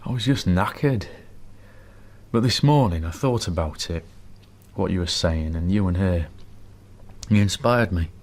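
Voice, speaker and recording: A man's voice: sits low, a little mellow. An actor playing a middle-aged husband and father in a radio drama, dry and wry, later rallying his family with a plan. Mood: enthused. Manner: dry, wry, grumbly at first, then brisk and rallying. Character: greying husband and father, wry, then eagerly inspired.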